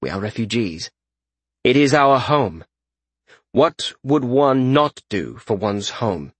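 A man's voice: mid-range voice, big pitch swings, slow pace, husky, no room sound, full character voice. A man voicing a cool, calculating warrior negotiator in a fantasy audiobook, formal and controlled. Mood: composed, persuasive.

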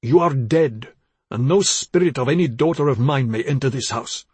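Man playing a husky, stern, bitter old father. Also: medium pitch, moderate pace, a little mellow, dry recording, natural voice. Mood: bitter, accusing. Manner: commanding, accusatory. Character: stern, bitter elderly father, proud and unforgiving.

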